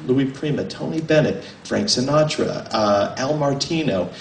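Halting man talking in a roomy library. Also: medium pitch, vocal fry, a little hiss or hum. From United States. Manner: rambling and halting, many fillers and restarts. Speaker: author. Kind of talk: informal talk to a small audience. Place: library room, indoors. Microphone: table mic on a stand, filmed from a distance. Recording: roomy, mic at a distance.

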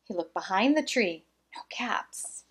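A woman reading a children's picture book aloud, with expressive, deliberate phrasing and small asides. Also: mid-range voice, a little hiss or hum.

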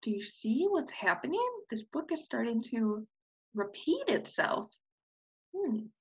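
A young woman reads a picture book to small children. Her voice is high and a bit husky, expressive, with questions for the listeners. The webcam sound is slightly dull. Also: a little slow. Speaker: preschool teacher. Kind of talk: children's storybook read-aloud. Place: indoors on a screen-share video call.

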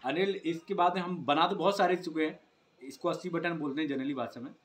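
A man's voice: fairly high pitch, a little fast. A young man with a Hindi accent from Uttar Pradesh shows sofas in his workshop, explaining politely and at length. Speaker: sofa maker showing his work. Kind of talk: casual showroom talk to camera. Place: cluttered indoor workshop.

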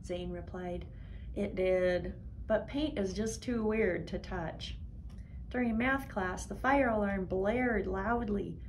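Mid-pitched woman reading a story. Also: slow, poor audio. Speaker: school staff storyteller. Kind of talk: reading a children's story aloud. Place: brick-walled school room, indoors. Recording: faint background noise in room.

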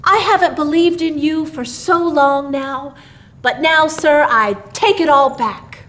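A woman's voice: sits high, slow pace, fairly bright tone, voice pushed up. Woman playing a formal, emphatic storybook father. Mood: apologetic, hearty. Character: Mister Harrison, grave, jovial well-to-do father.

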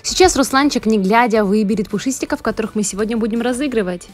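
A woman co-hosting a children's vlog, chatting in an animated way and addressing young viewers directly. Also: a little high, quick pace. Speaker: off-camera co-host of a family children's vlog. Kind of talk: upbeat kids' channel presenting and chat. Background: background music.

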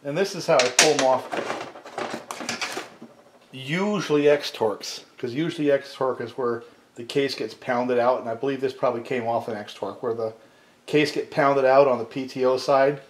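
A middle-aged man casually explaining repair steps at his workbench, in a relaxed, folksy way while handling tools. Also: medium pitch, moderate pace. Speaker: small engine repair channel host. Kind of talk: casual workshop demonstration. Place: cluttered home workshop at a workbench. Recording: echoey workshop with loud tool noise.

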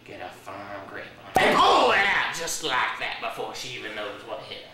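배우가 연기하는 허풍 센 호주 악어 조련사 남자, 농담을 좋아하고 느릿한 시골 말투에 과장된 호주 억양, 멀리서 울리는 방에서 녹음됨. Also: male voice, mid-range voice, huge pitch swings, normal speed, heavy background noise. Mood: folksy boastful. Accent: put-on Australian. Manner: jokey, folksy, drawling, laughs at own jokes. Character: boastful joking Australian crocodile handler, man. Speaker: guest playing a crocodile dentist. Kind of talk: comedy sketch acting. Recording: echoey room, heard from a distance.

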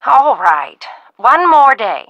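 Chatty motherly ranch aunt for a video game, kinda high bright voice, folksy n worried. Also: sing-song, takes it slowly, no room sound, highs cut off, exaggerated voice. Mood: anxious. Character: kindly, fretful, talkative older ranch-owner aunt.

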